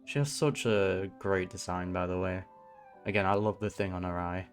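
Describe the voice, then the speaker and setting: Female streamer, extremely deep pitch. Casual, brief gameplay reactions, light music in the background. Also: normal speed. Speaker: game streamer.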